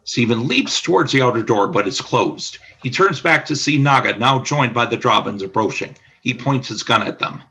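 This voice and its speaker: Bright, husky, lowish male narrator. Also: expressive, normal speed, few pauses. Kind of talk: scripted radio play narration.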